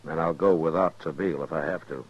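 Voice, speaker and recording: An actor playing a steady, determined American frontier marshal in a 1950s radio western. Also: male voice, a bass voice, a little fast, slightly rough. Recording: dull, noisy 1950s radio recording.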